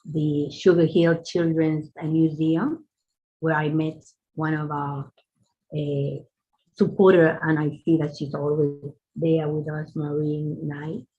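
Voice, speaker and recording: A woman's voice: low-pitched, little pitch movement, slow pace, slightly rough, muffled recording. A woman speaking to a group on a call in a heartfelt, appreciative way. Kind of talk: group reflection on a video call.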